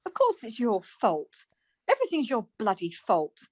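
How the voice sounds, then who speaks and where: A woman's voice: a little high, wildly swooping pitch, unhurried, dry recording, clean studio sound, exaggerated voice. A woman acting a scatty, easily exasperated wife in a domestic radio comedy. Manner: flustered, snippy.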